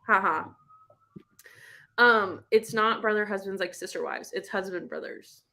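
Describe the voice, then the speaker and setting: A woman's voice: mid-range voice, a little slow, sharp tone. A woman hosting a casual live book club chat, talking in an informal, slangy, rambling way. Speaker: live show host.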